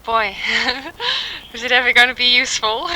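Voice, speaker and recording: A woman's voice: a little high, very animated, bright, forceful tone, a little hiss or hum, low-quality recording. A woman in her thirties chatting casually and hesitantly, as in a spoken letter to family, on a 1960s home tape. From England. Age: 30s. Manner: informal, hesitant, pausing often with ums. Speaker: mother recording an audio letter to family. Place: at home, in a quiet house.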